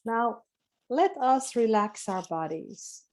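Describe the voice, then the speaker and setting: Slow, calm woman guiding relaxation. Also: fairly high pitch. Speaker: guest speaker leading a guided meditation. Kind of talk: slow guided relaxation instructions. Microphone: webinar video call.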